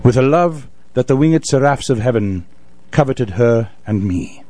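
A man reciting classical poetry theatrically as a wistful, grieving lover, with dramatic, measured storytelling. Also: medium pitch, lively intonation, mellow, voice pushed up, close to an everyday voice. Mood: tender, wistful. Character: wistful, grieving male lover narrating a gothic poem.

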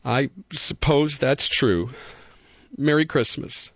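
A man voicing a small, lonely young male bunny who talks in a high, pleading, sobbing way. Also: slow, slightly rough, no room sound, lo-fi sound. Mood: wistful.

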